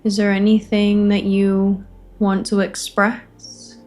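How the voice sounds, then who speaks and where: A woman facilitating a guided meditation, offering gentle invitations in short phrases with long pauses between them. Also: mid-range voice, completely flat delivery. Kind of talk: guided meditation, spoken softly to listeners.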